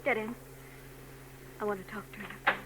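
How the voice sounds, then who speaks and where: An actress playing a troubled young married woman in a 1940s radio drama, soft and hesitant. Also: medium pitch, steady tone, moderate pace, natural voice. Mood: guarded. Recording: old radio broadcast with hum.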